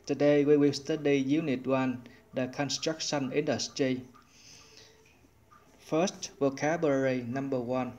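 A man teaching an online English lesson, working through exercises in a slow, methodical way. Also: mid-range voice, steady tone, a little mellow, dull audio.

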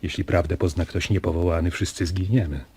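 Very deep, husky father. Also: fairly even intonation, a little fast, dark tone, exaggerated voice. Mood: grave, warning. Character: protective, grave father keeping a family secret. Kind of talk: radio drama acting.

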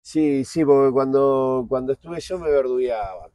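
Porteño guy in his 40s, dark mellow voice, blunt and slangy, keeps restarting, chatting at a park table. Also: mid-range voice, measured pace, no echo. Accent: Buenos Aires Spanish. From Argentina. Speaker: guest, TV producer. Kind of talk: candid casual interview.

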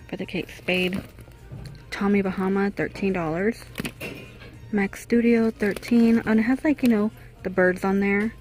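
Casting note: woman, mid-pitched, chatty shopping vlogger, handheld phone inside a store. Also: a little mellow. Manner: chatty, reads out prices, small laughs. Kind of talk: casual store walk-through narration. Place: indoors in a home goods store.

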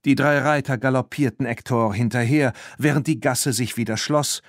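A man narrating a children's story from a script in an expressive, animated way. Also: mid-range voice, moderate pace, no echo, studio quality. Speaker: audiobook narrator.

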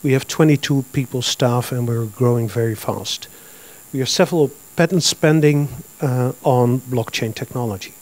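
A man in his fifties with a Dutch accent, giving a business presentation at a conference through a handheld microphone, talking plainly and filling pauses with uh and um. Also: mid-range voice, darkish tone. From Netherlands. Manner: plain, matter-of-fact, with frequent uh and um. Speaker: conference speaker. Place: large conference hall with audience.

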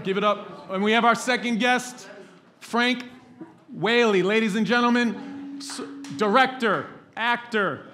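A man's voice: high voice, a little slow, long pauses, bright, forceful tone. A man in his forties hosting a live podcast celebration on a theater stage, making upbeat emcee announcements. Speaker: podcast host. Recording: live theater sound with band and crowd.

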